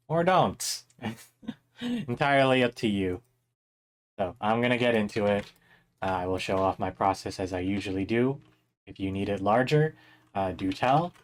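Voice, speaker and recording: Slightly hoarse man teaching drawing on stream. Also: on the low side. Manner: chatty, rambling, with many fillers and laughs. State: a little hoarse. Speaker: art instructor streaming a drawing workshop. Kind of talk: casual live-stream teaching.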